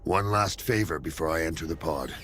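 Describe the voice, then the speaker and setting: An actor playing a firm, purposeful military colonel in a video game cutscene, speaking deliberately and with authority. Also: male voice, a bass voice, loud music behind the voice. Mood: quieter, solemn.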